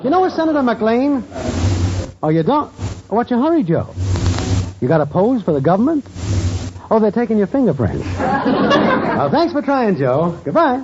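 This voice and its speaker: Cocky small-time hustler, wisecracking, high animated voice, 1940s radio comedy, very noisy muffled recording. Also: male voice, normal speed, long pauses, over a noisy crowd, heavily acted. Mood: breezy, cocky. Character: brash, shady, wisecracking small-time schemer boyfriend.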